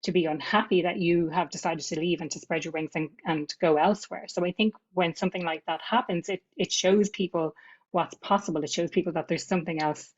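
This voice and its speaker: A woman's voice: fairly low pitch, steady tone, a little fast, muffled recording. A woman, a podcast guest talking reflectively, often repeating words as she gathers her thoughts. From Ireland. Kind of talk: conversational podcast interview.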